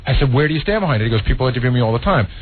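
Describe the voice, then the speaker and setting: Very fast-talking man, tinny radio. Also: mid-range voice, expressive, breathy edge. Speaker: radio show staffer. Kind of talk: casual recounting on air. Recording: tinny narrowband old radio recording, faint hiss.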